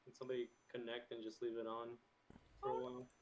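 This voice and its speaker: A man's voice: mid-range voice, monotone, fast, a crowd in the background. A man talking casually about technical setup on an online call, with typing and clicking behind him. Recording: faint noise, keyboard clicks, online call.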